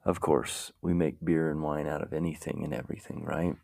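Deep gravelly man, slow rambling chat. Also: little pitch movement, darkish tone, no room sound, studio quality. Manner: meandering, muses aloud while browsing. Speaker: podcast host.

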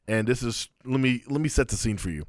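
A man in his thirties tells a long story on a podcast, animated and quick to act out the people he quotes. His voice sits at an ordinary middle pitch, and the sound is close and dry. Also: no echo. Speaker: podcast co-host. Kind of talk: casual storytelling chat.

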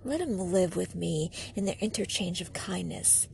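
A woman's voice: lowish voice, unhurried, gravelly, a little mellow, a little hiss or hum. A woman voicing an aggrieved male fiend in a gothic audiobook, formal and insistent in his pleading. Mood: bitter, pleading. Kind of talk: audiobook character reading.

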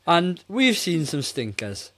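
A man's voice: on the high side, sing-song, slow pace, bright, forceful tone. A man in his forties co-hosting a casual film review podcast, speaking in a blunt, exasperated way. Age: 40s. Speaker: podcast co-host.

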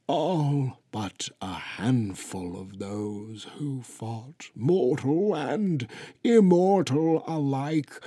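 A man voicing an ancient female tortoise historian who speaks gravely in a storybook. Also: medium pitch, mellow, no echo, clean studio sound, pitched above the performer's own voice, exaggerated voice. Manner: grave, slow.

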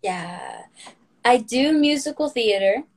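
A teenage girl speaks as a guest in a casual chat. She often pauses and stops to restart, and she talks herself down. Her voice is slightly high. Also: animated. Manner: hesitant, self-deprecating.

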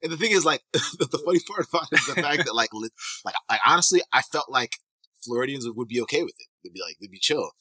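A man's voice: mid-range voice, expressive, fast talker, pushed and bright, husky, no room sound, rough recording. A man from Kenya hosting a casual podcast as a physical therapist, talking loosely and eagerly with many restarts.

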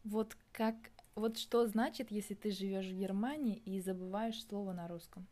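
Young woman, mellow voice, casual vlog musing, halting and searching for words. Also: mid-range voice, fairly even intonation. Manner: thinking aloud, hesitant with many pauses. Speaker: vlogger. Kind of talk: casual reflective monologue.

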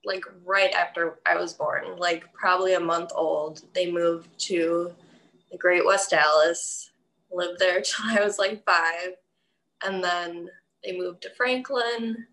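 A woman's voice: on the low side, steady tone, slow pace, fairly bright tone, low-quality recording. A young woman as an interview guest, chatty and giggly, peppering her talk with "like". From Milwaukee area, USA. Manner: chatty, giggly, says "like" often. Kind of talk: casual interview chat.

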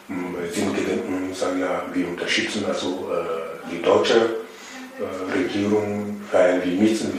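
Togolese man, echoey distant interview. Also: low voice. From Togo. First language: Ewe and Mina. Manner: hesitant, many fillers, emphatic repetitions. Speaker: interview guest, initiative member. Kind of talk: conversational interview. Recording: far from mic, echoey room, faint noise.

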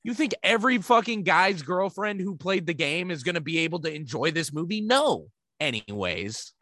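Man, podcast co-host, fairly high voice, blunt and foul-mouthed, dry close sound. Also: animated, normal speed, sharp tone, no room sound. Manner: blunt, profane. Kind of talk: casual chat.

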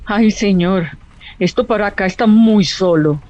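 Una actriz de radionovela con acento colombiano interpretando a una madre de mediana edad, protectora y desafiante. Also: female voice, mid-range voice, measured pace, darkish tone, lo-fi sound, close to an everyday voice. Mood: wary. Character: protective, defiant middle-aged mother facing danger. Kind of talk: radio drama acting.

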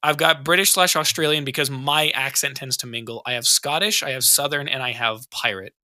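Podcast co-host, man, very bright tense tone, dry close mic, jokey and profane. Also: mid-range voice, fairly quick, no room sound. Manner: joking, profane, rambling. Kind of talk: casual podcast chat.

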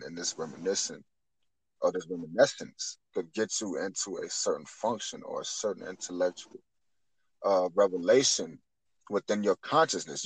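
A man's voice: low-pitched, husky, dry recording, rough recording. A man in his twenties speaking earnestly on spiritual matters in conversation, often repeating and rephrasing as he builds a point. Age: 20s.

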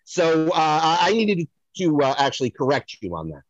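A man's voice: on the high side, very animated, moderate pace, dry recording. A man co-hosting a comedic podcast skit with a hesitant, needling manner, on a dull, dry microphone. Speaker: podcast co-host and showrunner.

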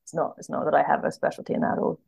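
A woman's voice: racing, darkish tone. A woman chatting as an interview guest about books, thoughtful and tentative, often correcting herself as she goes. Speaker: podcast guest, literary translator.

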